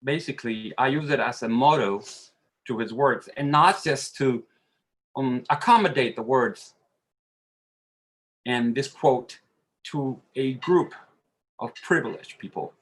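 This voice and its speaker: Slow-speaking man. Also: mid-range voice. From Dominican Republic. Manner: halting, searching for words. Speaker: panelist. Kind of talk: earnest roundtable statement.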